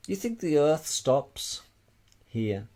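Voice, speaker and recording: A man's voice: on the high side, big pitch swings, slow pace, darkish tone. A man giving an informal, emphatic explanatory monologue as an amateur presenter, repeating phrases and posing rhetorical questions.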